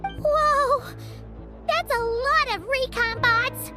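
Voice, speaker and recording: Very high, squeaky, chirpy little girl. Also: very slow, pushed and bright, soft background music, heavily acted. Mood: excited, relieved. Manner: perky, exclamatory. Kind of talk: animated video game voice acting.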